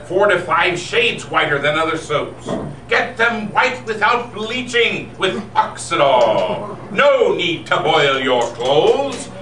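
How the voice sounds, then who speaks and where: A man's voice: high voice, pushed and bright. A man reading a 1930s-style radio commercial as a sponsor announcer at a live event. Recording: distant echoey live event, faint noise.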